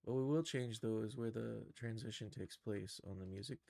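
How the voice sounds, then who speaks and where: A man thinking aloud through a casual live software tutorial, in an informal, musing, tentative manner. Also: lowish voice, moderate pace, gravelly, very noisy. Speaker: streamer teaching animation software.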